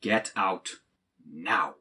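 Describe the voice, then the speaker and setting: A man's voice: low-pitched, very slow, sharp tone, husky, heavily acted. A man acting a patient but exasperated straight-man best friend, wry and long-suffering, in a comedic audio play. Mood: outraged.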